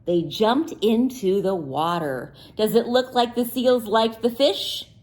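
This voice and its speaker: A woman teacher reading a picture book aloud to young children, pausing to ask them questions in a playful, sing-song way. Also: on the high side, darkish tone. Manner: slow and deliberate, pausing for answers.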